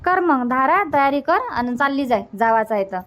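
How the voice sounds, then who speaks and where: A woman voicing a bossy older village mother-in-law in a cartoon comedy, brisk and repetitive, with a Varhadi Marathi accent. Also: high voice, expressive, a bit bright. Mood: bossy, urging. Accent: Varhadi Marathi from Vidarbha.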